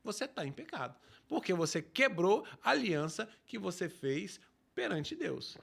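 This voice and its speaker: A man, a pastor explaining scripture in an emphatic, lively talk-show style. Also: high voice, unhurried, creaky, slightly rough.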